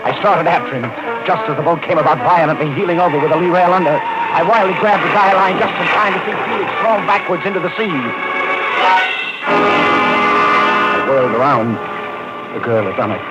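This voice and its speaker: A man's voice: a little high, brisk, lightly acted. An actor playing a cynical ship's doctor who narrates his adventure in a wry, clipped, mid-century radio style. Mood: urgent, excited. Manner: wry, clipped, hard-boiled. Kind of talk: 1940s-50s radio acting, first-person adventure narration. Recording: muffled old radio recording, loud music.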